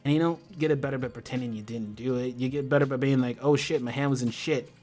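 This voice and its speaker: A man's voice: mid-range voice, fairly even intonation, fast talker, a little mellow. Slangy American man streaming over faint music. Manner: impassioned, slangy, swearing freely. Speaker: livestream host. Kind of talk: casual livestream chat.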